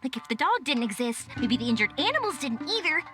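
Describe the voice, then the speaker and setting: A woman's voice: sits high, big pitch swings, fast, music in the background. A woman voicing a cheerful, naive, curious young woman in a video game, eager and chatty. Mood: puzzled, musing. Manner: eager, wondering, rambling. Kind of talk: animated video game voice acting.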